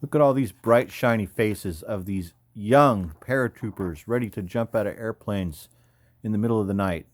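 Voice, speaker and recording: Low-voiced American man, casual and rambling. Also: moderate pace, dry recording. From California, United States. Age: 50s. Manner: rambling, informal, slangy. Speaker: army veteran, former paratrooper and combat medic. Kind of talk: casual off-the-cuff commentary over photos.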